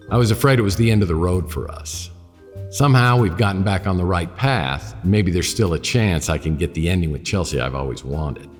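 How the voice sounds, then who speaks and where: Wisecracking private eye, very deep voice, dry deadpan delivery, noir radio drama, faint music. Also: male voice. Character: wisecracking down-on-his-luck private detective, dry and self-deprecating.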